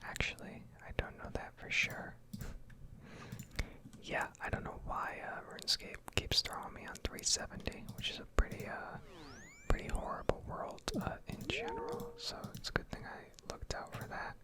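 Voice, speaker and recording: A woman giving casual, rambling game commentary in a soft ASMR whisper, hesitating as she goes, close to the microphone. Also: moderate pace. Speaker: gaming video host.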